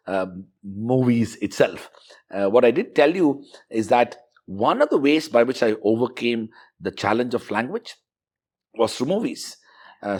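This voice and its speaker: A middle-aged man from South India recalling his student years in a relaxed interview, reflective and fluent with frequent fillers. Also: on the low side, husky. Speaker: interviewee, university professor. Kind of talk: conversational interview.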